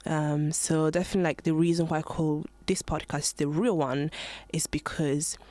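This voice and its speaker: A woman's voice: sits low, steady tone, moderate pace, dark tone, no echo. A nineteen-year-old woman hosting her own podcast, talking casually and earnestly with lots of "um" and "like", a little nervous. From Ghana, born in Italy, living in the UK. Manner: chatty and earnest, many fillers like "um" and "like". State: a bit nervous. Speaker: podcast host. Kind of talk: casual solo podcast monologue.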